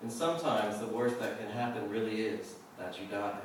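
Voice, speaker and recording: Guy reading his poems live, kinda measured but casual, super echoey and off the mic. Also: on the low side. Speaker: poet reading own work. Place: live reading venue, indoors. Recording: roomy echo, distant mic, faint noise.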